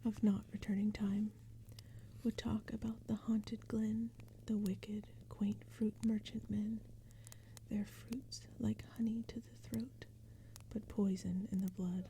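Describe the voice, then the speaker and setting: A woman reading poetry in a gentle, breathy ASMR whisper, unhurried and even, over crackling fire and forest sounds. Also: mid-range voice. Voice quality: breathy whisper, mostly unvoiced. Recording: steady background ambience noise.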